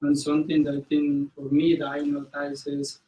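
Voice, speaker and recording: A man from Honduras giving a comment from the floor as an audience member, talking haltingly and searching for words. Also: a little high, completely flat delivery, warm tone. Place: university classroom. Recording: echoey room, distant mic, faint noise.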